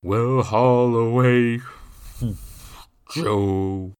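A bellowing drunken lout, pitched up. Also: male voice, very animated, normal speed, vocal fry, sharp tone, slightly rough, heavily acted. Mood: drunken singing. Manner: slurred drunken bellowing in uneducated dialect. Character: drunken, spiteful, belligerent widower, rough and mocking. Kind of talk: dramatised audiobook character voice.

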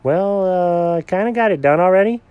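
A man's voice: high voice, moderate pace, smooth, dry recording, dull audio, pitched above the performer's own voice, close to an everyday voice. A man voicing an easygoing male cat builder with a folksy, hesitant way of talking.

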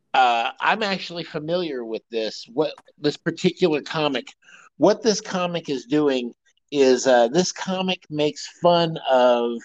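Slow-talking man in casual podcast chat. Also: mid-range voice, darkish tone, dry recording, muffled recording. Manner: rambling, full of fillers, laughs often. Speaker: podcast co-host.

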